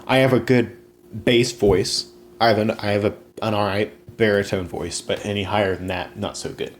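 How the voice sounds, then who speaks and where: A young man with a fairly low voice talks through his plans in a loose, rambling way, full of "you know" and false starts. There is a faint hiss behind him, with an occasional click. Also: little pitch movement, normal speed. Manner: rambling, many fillers and restarts. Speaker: podcast host. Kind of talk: casual solo podcast talk.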